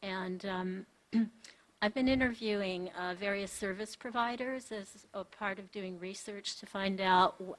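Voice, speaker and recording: A woman of mid pitch speaks at a podium microphone in a meeting chamber. She makes an earnest, pleading public comment. She halts often and pauses between her points. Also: poor audio.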